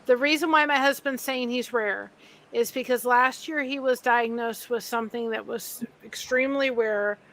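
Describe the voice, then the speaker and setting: A woman's voice: fairly high pitch, normal speed, fairly bright tone. Earnest woman explaining in a video chat. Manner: earnest, explanatory, restarts sentences. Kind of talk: casual group video chat.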